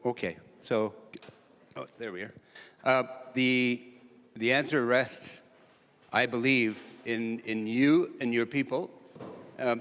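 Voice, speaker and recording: A man on a panel answering a question slowly and hesitantly, with many fillers. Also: medium pitch, a bit bright. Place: large conference hall. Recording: distant mic in a reverberant hall.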